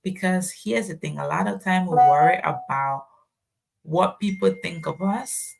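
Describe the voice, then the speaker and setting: A woman's voice: on the low side, measured pace, creaky, a little hiss or hum, rough recording. A woman from Ghana, a podcast guest, talking in a reflective way and telling personal stories. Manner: reflective, tells personal anecdotes. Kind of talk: conversational storytelling.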